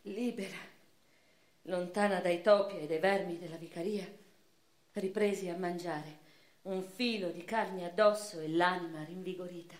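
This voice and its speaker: An actress playing a cultured, impassioned woman revolutionary and newspaper writer in a theatrical monologue, declamatory and literary, with deliberate pauses and emphatic swells. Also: on the low side, fairly even intonation, lightly acted. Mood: solemn, bitter. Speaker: stage and radio actress. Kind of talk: dramatic first-person theatrical monologue. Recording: roomy, reverberant theatrical recording.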